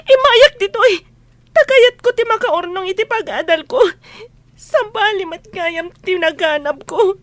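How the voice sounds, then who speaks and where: A woman's voice: very high-pitched, expressive, unhurried, no room sound. A young woman with an Ilocano Filipino accent, playing a housemaid and student in a radio drama. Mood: tearful, outraged. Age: young adult. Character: young housemaid and student, respectful, later outraged and anguished.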